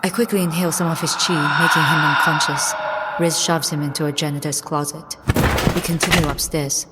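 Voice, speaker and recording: A woman playing a cool, focused young infiltrator with secret powers, narrating an audio drama in a measured, matter-of-fact way. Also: deep voice, fairly even intonation, very noisy, lightly acted. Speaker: narrator and lead character.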